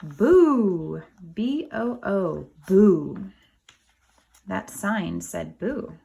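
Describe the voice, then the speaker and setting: A woman with a noticeably gravelly, creaky voice reads a picture book to kindergarteners in an unhurried way. She works like a teacher, pausing for simple explanations and speaking plainly to little listeners. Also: mid-range voice, animated, mellow. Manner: simple explanatory asides, spells out words for children. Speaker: kindergarten teacher reading aloud to young children. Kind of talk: animated picture-book read-aloud with asides.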